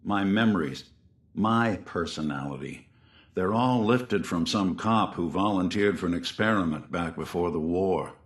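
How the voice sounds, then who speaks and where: Video game robot detective: American, middle-aged, low register, noir slang, tired decency, audible room reflections. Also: male voice, echoey room. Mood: wry, reflective. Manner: wry, old-time hardboiled slang, halting when confiding. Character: weary, kindhearted synth detective robot with an old cop's memories.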